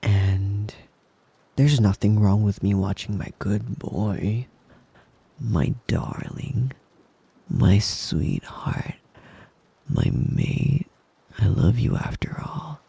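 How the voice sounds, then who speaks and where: A man playing a possessive, doting, dominant wolf boyfriend in an intimate ASMR roleplay, speaking softly and coaxingly, often in a whisper. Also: fairly low pitch, exaggerated voice. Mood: possessive, jealous. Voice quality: soft, breathy, often whispering. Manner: slow, coaxing, possessive endearments. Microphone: close microphone, dry.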